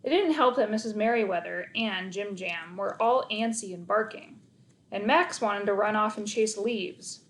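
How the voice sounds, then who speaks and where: A woman narrating a children's story aloud in an expressive read-aloud style. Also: medium pitch, normal speed, low-quality recording.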